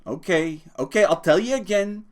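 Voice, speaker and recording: A man's voice: sits high, moderate pace, voice pushed up, natural voice. A man voicing a small, irritable working man who scolds and swears, from a storybook. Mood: exasperated. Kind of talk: audiobook character voice.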